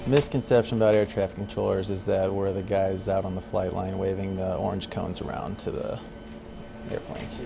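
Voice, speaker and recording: Male controller interviewee, very creaky low voice with flat melody, laid-back phrasing, clear background noise. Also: normal speed, music in the background. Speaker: air traffic controller. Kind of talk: casual interview answers about own job.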